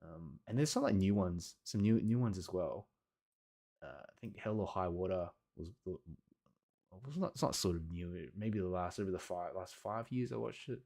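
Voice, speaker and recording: A man teaching watercolour on a live stream, chatting casually with viewers in an encouraging way and pausing often. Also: low voice, creaky, mellow. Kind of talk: casual livestream chat reading and answering viewer comments.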